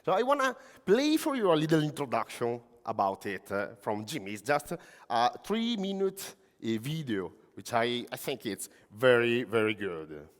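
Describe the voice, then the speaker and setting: An Italian-accented man speaks in a halting way, with many pauses and fillers, and his pitch rises and falls widely. His voice carries a long reverb from a large conference hall. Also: big pitch swings. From Italy. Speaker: conference speaker, technical coach. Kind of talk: conference talk.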